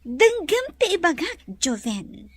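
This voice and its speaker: A woman's voice: very high voice, sing-song, slow, dry recording, heavily acted. A woman with an Ilocano accent playing a bossy, teasing lady employer in a radio drama. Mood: bossy, scolding.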